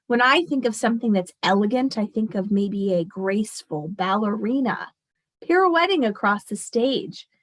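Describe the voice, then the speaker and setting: A woman's voice: medium pitch, lively intonation, normal speed. A woman teacher reading a picture book aloud expressively and explaining it to children as she goes.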